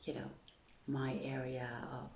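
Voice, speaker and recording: A woman's voice: rumbling low, completely flat delivery, a little slow, creaky, roomy sound, noisy background. A woman hosting an online story reading, speaking to listeners and reading literary tales aloud. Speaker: reader of stories to an online audience.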